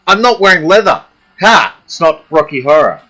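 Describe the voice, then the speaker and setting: A man's voice: a little high, animated, moderate pace, bright, forceful tone. A man hosting a live stream, chatting casually and bluntly, grumbling and swearing freely. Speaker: stream host. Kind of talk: casual chat.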